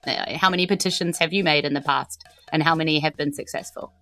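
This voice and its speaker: A New Zealand woman playing an earnest, nervous but determined teenage empress in a tabletop game, speaking haltingly. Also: sits low, fairly even intonation, pushed and bright, soft background music. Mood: guarded, candid.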